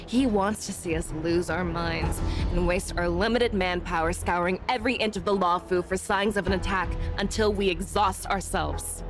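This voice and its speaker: A woman voicing a composed, steely female general in a video game, speaking with measured authority. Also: lowish voice, steady tone, over music, natural voice. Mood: shrewd explaining.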